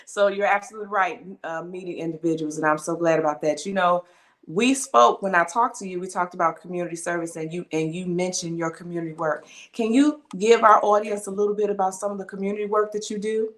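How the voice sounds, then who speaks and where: A woman's voice: lowish voice, fairly even intonation, brisk, a bit bright. A woman co-hosting an interview podcast who affirms her guest often and asks follow-up questions. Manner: affirming, frequent agreement. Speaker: podcast co-host.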